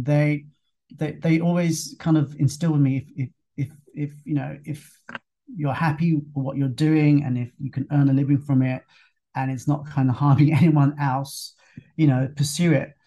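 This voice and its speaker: A man's voice: fairly high pitch, fairly even intonation, moderate pace, vocal fry, warm tone. A young man, speaking as an interview guest in a reflective, hesitant way with many restarts. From London, England. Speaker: guest, fitness instructor. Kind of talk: casual interview talk.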